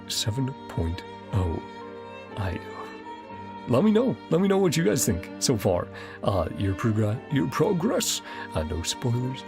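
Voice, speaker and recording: Low, gravelly-voiced male game streamer. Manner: rambling, enthusiastic. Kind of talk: casual livestream commentary wrapping up a game session. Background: game music.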